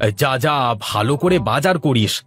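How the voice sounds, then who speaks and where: A man's voice: medium pitch, lively intonation, normal speed, soft background music, lightly acted. A man voicing a sly, bossy idler who schemes, in a cartoon moral story. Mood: scheming. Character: sly, scheming idler faking a fainting fit.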